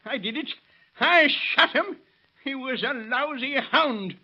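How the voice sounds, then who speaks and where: An actor playing a simple-minded, lovesick, unstable rural American killer in 1930s radio style, folksy, whining and giggly. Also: male voice, very high voice, unhurried. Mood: bitter confession. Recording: dull vintage 1930s radio recording.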